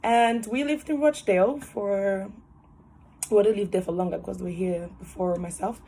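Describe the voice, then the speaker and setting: A woman's voice: medium pitch, moderate pace, rough recording. Cheerful young woman on a video call. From Cameroon. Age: 20s. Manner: cheerful, laughs often, animated hands. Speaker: interviewee, student nurse. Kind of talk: casual personal story. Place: small room at home, indoors. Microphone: webcam on a video call.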